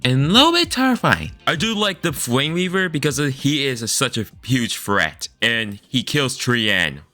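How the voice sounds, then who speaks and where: A man giving informal opinion commentary as a video creator, speaking in halting, uneven phrases with choppy emphasis. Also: medium pitch, very animated, sharp tone, soft background music. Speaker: video creator reviewing a game story.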